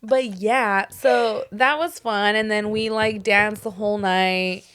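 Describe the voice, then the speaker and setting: A young woman co-hosting a podcast in casual chat, talking in a hoarse voice that is still recovering, full of "like" and "dude.". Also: mid-range voice, steady tone, slow, fairly bright tone. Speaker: podcast co-host.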